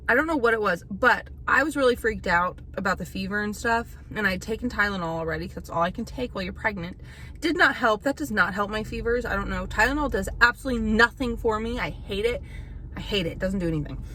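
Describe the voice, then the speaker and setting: Slightly hoarse woman chatting casually. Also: medium pitch, sharp tone. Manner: rambling, with many fillers and restarts. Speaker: vlogger. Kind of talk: casual chat to camera. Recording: faint background noise.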